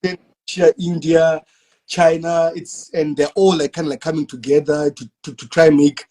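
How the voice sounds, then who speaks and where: Husky-voiced South African man chatting. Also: on the high side, flat delivery, normal speed, darkish tone. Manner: loose, laid-back slang, frequent restarts. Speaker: podcast guest. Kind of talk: casual chat.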